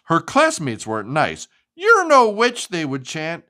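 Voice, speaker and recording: A woman hosting a children's read-aloud, sing-song and expressive, who asks the child questions. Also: low-pitched, unhurried. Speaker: host reading storybooks to a child.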